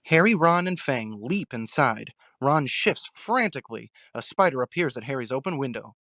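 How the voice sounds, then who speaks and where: A man delivering dramatic scripted narration with suspenseful, deliberate phrasing. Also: mid-range voice, expressive, pushed and bright, no echo, clean studio sound.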